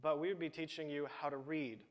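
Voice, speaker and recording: A man's voice: a little high, steady tone, fairly quick. A man, the business lead of a startup, explaining his team's product to an audience. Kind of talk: audience Q&A pitch answer. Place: event hall. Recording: long hall reverb.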